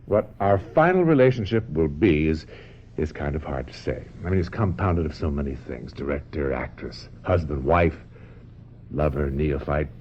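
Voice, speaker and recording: An actor in a radio drama playing a suave, domineering film director who persuades with repetitions and little tag questions. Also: male voice, very deep voice, expressive, moderate pace. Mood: persuasive, passionate. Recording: dull, noisy old radio recording.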